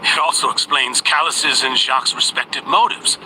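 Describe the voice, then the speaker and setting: A man voicing a smooth-talking gentleman accused in a courtroom, in scripted video game dialogue. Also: medium pitch, fairly even intonation, normal speed, slight background noise, rough recording. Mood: unruffled, agreeable.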